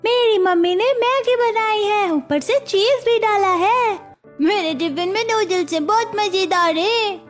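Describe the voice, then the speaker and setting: A woman's voice: very high-pitched, measured pace, soft background music. A woman voicing a pleading, complaining, stubborn young schoolchild in a cartoon story. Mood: boastful. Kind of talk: Hindi cartoon story dialogue.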